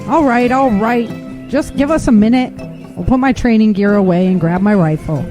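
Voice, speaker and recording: A woman's voice: a little high, normal speed, natural voice. An actress playing a dutiful, teasing woman in training as a fighter in an audio drama. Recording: music behind the voice.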